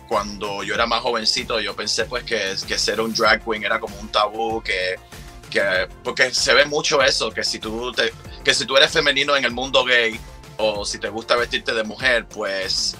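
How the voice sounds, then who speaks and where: A man in his thirties from Cuba with a Cuban accent, chatting as an interview guest, relaxed and quick to laugh. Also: fairly low pitch. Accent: Cuban Spanish. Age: 30s. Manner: relaxed, chatty, laughs often, many fillers. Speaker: guest, drag performer and singer. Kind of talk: casual interview chat.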